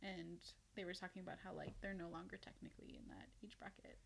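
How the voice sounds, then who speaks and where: A woman in her thirties co-hosting a podcast with a colleague, agreeable and quick to laugh in casual chat. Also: lowish voice, sing-song, creaky, slight background noise. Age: 30s. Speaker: podcast co-host, therapist.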